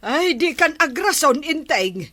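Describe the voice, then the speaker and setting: A woman plays a nagging wife in an Ilocano comedy drama. Her voice is fairly high, bright and forceful, very dry, with an Ilocano accent. She scolds in emphatic, exasperated bursts. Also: very animated, measured pace, dry recording, full character voice. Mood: exasperated, commanding. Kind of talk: rural comedy radio-style drama acting.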